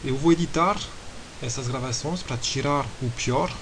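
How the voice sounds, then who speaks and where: A man with a foreign accent giving an improvised university lecture in a podcast, speaking in a hesitant, measured way and spelling things out slowly. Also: mid-range voice, a bit bright. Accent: foreign accent in Portuguese. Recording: hissy lecture recording.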